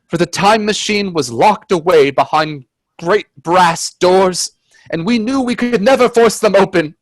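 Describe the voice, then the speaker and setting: A man playing an earnest, anxious gentleman companion in a radio play, sounding dramatic and grave. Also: sits high, dry recording, rough recording. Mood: grave narration. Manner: earnest, hesitant in dialogue, measured in narration.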